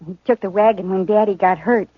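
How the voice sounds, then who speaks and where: A shy, earnest frontier girl of about sixteen, voiced by an actress, with a soft country drawl. The sound is old radio: thin and phone-like, dry and close. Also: medium pitch, fairly even intonation, a little fast, dry recording, natural voice. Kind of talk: 1950s radio acting. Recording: narrow-band vintage radio broadcast.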